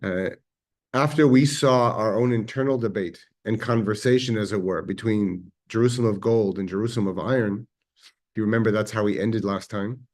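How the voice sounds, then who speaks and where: A man with an Israeli accent giving a measured, reflective history lecture, pausing now and then with fillers. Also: on the low side, darkish tone. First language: Hebrew.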